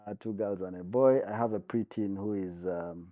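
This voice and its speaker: A man from Uganda in his forties, a guest talking casually about his life, relaxed, with many fillers and laughs. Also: deep voice, normal speed, no room sound, highs cut off. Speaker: guest, advertising CEO. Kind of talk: casual interview chat.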